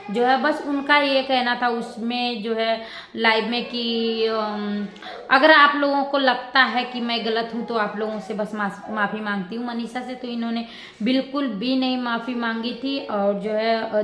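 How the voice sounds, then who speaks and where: A woman giving casual reaction commentary to her viewers, rambling and halting as she circles back over her points. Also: a little high, a bit bright. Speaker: vlogger. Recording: roomy echo with background noise.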